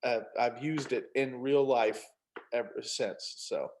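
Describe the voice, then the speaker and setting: Guy author chatting, medium pitch, kinda halting with repeated words, telling little stories. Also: a little mellow, a little hiss or hum. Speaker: guest author. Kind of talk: casual conversation, anecdotes.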